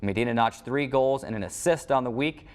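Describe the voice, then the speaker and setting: An American man reading scripted sports highlights as the host of a show. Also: brisk.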